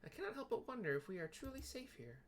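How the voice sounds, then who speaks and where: Man putting on a high, chirpy young woman. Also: big pitch swings, fast, slight background noise. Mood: uneasy. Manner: bright, exclaiming, formal polite wording. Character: high, cheerful, chatty young woman receptionist.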